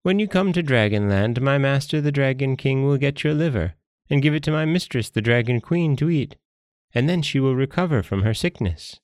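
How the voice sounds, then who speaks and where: A man's voice: medium pitch, animated, normal speed, a little mellow, dry recording, clean studio sound, pitched above the performer's own voice, natural voice. A man voicing a naive, eager, foolish jellyfish servant in a storybook tale, guileless and coaxing. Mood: gleeful. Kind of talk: storybook character voice.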